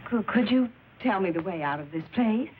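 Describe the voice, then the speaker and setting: An actress playing a guarded, sassy young dame in a 1940s film noir style. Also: female voice, medium pitch, expressive, moderate pace, lightly acted. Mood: anxious. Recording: noisy, dull old film soundtrack.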